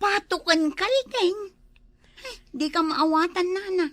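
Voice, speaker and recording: A woman's voice: very high-pitched, expressive, measured pace, fairly bright tone, exaggerated voice. A woman with an Ilocano accent playing a worried, complaining village wife in an amateur comedy drama. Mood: worried, puzzled.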